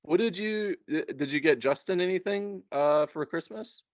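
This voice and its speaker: A man chatting casually and a bit haltingly, in informal language, on a dull lo-fi recording. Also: on the high side, no echo. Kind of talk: casual chat.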